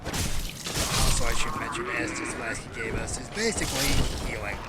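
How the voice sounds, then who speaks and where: A man's voice: mid-range voice, steady tone, moderate pace, heavy background noise. A man giving casual, self-deprecating commentary as he plays a video game, often muttering wryly to himself. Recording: loud game soundtrack behind voice. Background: video game music and sounds.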